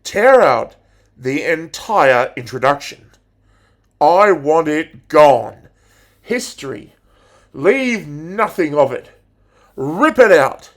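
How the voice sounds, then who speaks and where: A man playing a passionate, theatrical English teacher in a dramatic monologue, declaiming deliberately with pauses. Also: on the high side, very animated, full character voice. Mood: fiery, urging. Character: passionate, theatrical male English teacher rousing his pupils.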